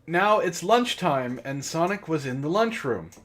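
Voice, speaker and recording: Bright-voiced man reading aloud with mocking asides. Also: on the high side, lively intonation, measured pace. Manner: mocking, breaks off for sardonic asides. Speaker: book club host and reader. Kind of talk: comic read-aloud of fan fiction with asides.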